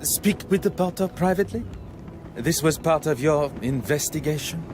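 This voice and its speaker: A man plays a theatrical Belgian detective with a French accent and a very lively, swooping delivery. He is formal, polite and precise, always probing, as in a mystery game. Soft music plays behind him. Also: on the high side, moderate pace, close to an everyday voice. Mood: stern, probing. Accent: French-speaking Belgian. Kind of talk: video game voice acting.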